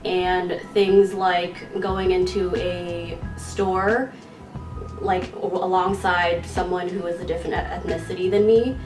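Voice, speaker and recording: A thirty-year-old woman speaks in a calm, encouraging way, her voice a little low. She sits back from the mic in an echoey room. Clear music plays underneath. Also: takes it slowly. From San Francisco, California. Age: 30s. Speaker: interviewee. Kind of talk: documentary interview. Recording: roomy, distant mic with music bed.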